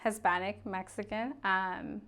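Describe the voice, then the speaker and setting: A woman's voice: medium pitch, steady tone, unhurried, fairly bright tone. A woman in her thirties from Arizona, a real estate agent talking openly and chattily as a podcast guest. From Arizona, USA. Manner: open, chatty, laughs easily. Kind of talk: casual podcast interview.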